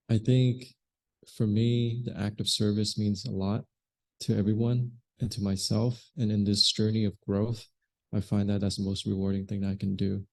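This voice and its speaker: Earnest man from Vietnam, fairly low voice. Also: darkish tone. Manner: earnest, with fillers. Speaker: first-time church visitor. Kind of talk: informal self-introduction.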